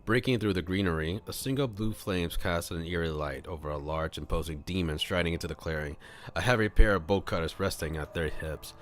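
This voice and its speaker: A man's voice: sits low, fairly quick. A man streaming a read-aloud of a visual novel, narrating and adding casual, playful asides. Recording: music playing behind the voice. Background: music.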